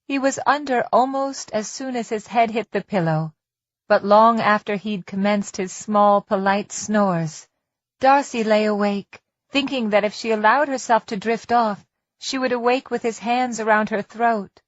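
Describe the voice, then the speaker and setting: A woman narrating literary suspense fiction in a measured, deliberate manner, as for an audiobook. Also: mid-range voice, no echo, muffled recording.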